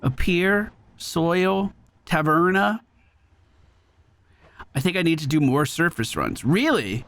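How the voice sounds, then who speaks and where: A man doing casual gameplay commentary as a streamer, chatty, informal and expressive. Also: a little high, takes it slowly, long pauses. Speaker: game streamer.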